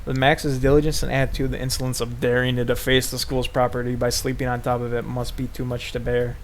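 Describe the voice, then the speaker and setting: Halting male narrator, medium pitch, faint noise. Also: little pitch movement. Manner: halting, stumbles over the text. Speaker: narrator in a group playthrough. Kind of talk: unrehearsed read-aloud narration of visual novel.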